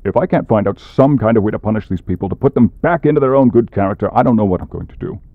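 Guy doing a grumpy demon jailer for asmr roleplay, low curt voice, muffled lo-fi audio. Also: fast talker, no echo, natural voice. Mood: desperate. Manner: gruff, curt, long-suffering. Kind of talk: ASMR comedy roleplay acting.

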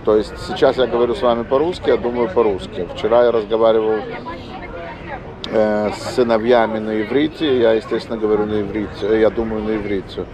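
A man in his fifties, from Russia, talking as a local tour guide in a thoughtful, casual way with frequent "uh" pauses. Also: lowish voice, fairly even intonation, crowd noise. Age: 50s. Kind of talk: casual guiding talk on a walk. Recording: street noise behind.

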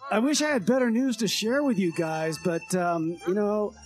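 Man as breezy, higher-voiced traveler reporting back. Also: expressive, moderate pace, faint music behind. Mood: breezily apologetic. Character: upbeat, unflappable male traveler reporting absurd disasters. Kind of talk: comedy radio theater, spoken letter.

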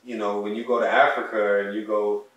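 A man's voice: fairly low pitch, flat delivery, brisk, recorded from a distance, dull audio. A young man talking earnestly as a podcast co-host, sharing personal stories in a casual chat at home. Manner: earnest, heartfelt, speaks of his soul and ancestors. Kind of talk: casual conversational storytelling. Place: home living room, indoors. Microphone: distant mic on a stand, wide camera. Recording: echoey room audio picked up from afar.

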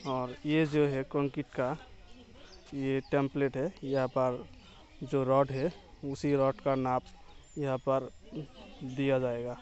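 A man's voice: mid-range voice, little pitch movement, slow pace, pauses often, darkish tone, muffled recording. A young man giving a plain, explanatory walking tour of a construction site outdoors, talking straight to his viewers. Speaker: construction vlogger. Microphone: phone held at arm's length.

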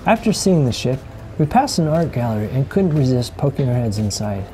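A middle-aged man giving calm, scripted voice-over narration for a sailing travel vlog, explaining things with dry humor. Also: medium pitch, fairly quick, music in the background, rough recording.

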